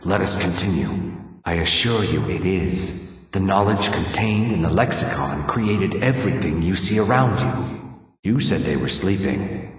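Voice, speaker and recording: A man voicing a shady, calculating lizard-folk adventurer in a video game, with low husky tones and measured, insinuating formal phrasing. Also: no echo, heavily acted. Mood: scholarly, intent. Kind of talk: video game character dialogue.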